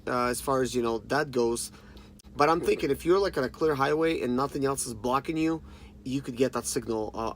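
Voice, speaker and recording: A man in his thirties with a medium-pitched voice hosts a casual review. He speaks off the cuff, haltingly and informally, addressing viewers directly. There is noticeable background noise around his voice. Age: 30s. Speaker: review channel host. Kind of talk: casual unscripted product review. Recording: clearly noisy background ambience.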